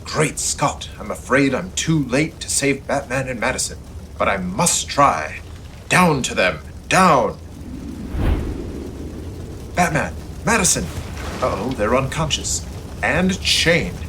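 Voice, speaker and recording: An earnest superhero played by a man with old-fashioned exclamations and a declamatory delivery. His mid-pitched voice swings widely, as in a comic radio serial. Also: big pitch swings, slow, pauses often, bright, forceful tone, slight background noise, full character voice. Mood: urgent, heroic.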